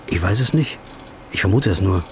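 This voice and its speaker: A man's voice: sits low, lively intonation, normal speed, a little hiss or hum. An actor playing a haggard, obsessive man searching for the truth, speaking tersely and narrating in a brooding voice-over. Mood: determined. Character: haggard male detective, hungover and obsessive. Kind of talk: film-style drama with voice-over narration.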